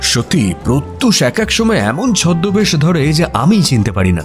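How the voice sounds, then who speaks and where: Audio drama narrator: puzzled, admiring sidekick, higher voice, highly expressive suspense telling, soft music bed. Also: male voice, moderate pace. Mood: storytelling. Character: detective's puzzled, admiring male friend narrating the mystery. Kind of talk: dramatized first-person audio story narration. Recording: music behind the voice.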